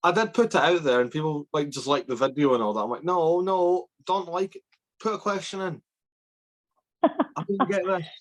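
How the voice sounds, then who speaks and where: A man's voice: a little high, normal speed. Scottish man hosting a video-call podcast. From Scotland. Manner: chatty, self-deprecating, trailing restarts. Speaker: podcast host. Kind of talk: casual video-call interview. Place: small bedroom at home. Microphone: video call webcam.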